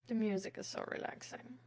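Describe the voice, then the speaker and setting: A woman's voice: fairly high pitch, a little mellow, husky. A woman livestreamer chatting casually with her viewers in a hesitant, rambling way that often trails off. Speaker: live streamer.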